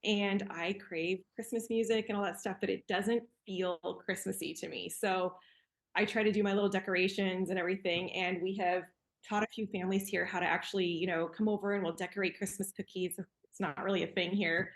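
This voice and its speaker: Woman, 40s, grew up in Cameroon, relaxed podcast guest sharing memories, conversational with fillers. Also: medium pitch, fairly quick. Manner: warm, easygoing, with fillers and laughs. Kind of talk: casual reminiscing.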